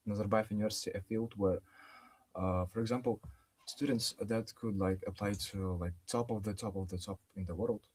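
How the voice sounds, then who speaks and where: Young male student from Yakutia; lowish voice, relaxed and rambling, many fillers, video call. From Yakutia, Russia. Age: young adult. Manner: relaxed, filler-heavy with frequent "like". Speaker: undergraduate student. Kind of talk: casual self-introduction.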